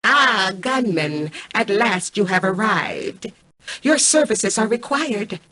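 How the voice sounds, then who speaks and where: A woman voicing a cold, arrogant mainframe intelligence in a video game, speaking in a formal, measured, commanding way. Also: mid-range voice, lively intonation, bright, forceful tone, exaggerated voice. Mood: cool, commanding. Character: cold, arrogant female mainframe artificial intelligence, calculating and imperious. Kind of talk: scripted video game voice acting.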